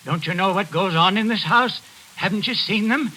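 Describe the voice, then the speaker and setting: A man's voice: sits high, normal speed, pushed and bright. An actor playing a fanatical, ominous old handyman given to biblical doom in a 1940s radio suspense drama. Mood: ominous, feverish. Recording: noisy, hissy 1940s radio broadcast.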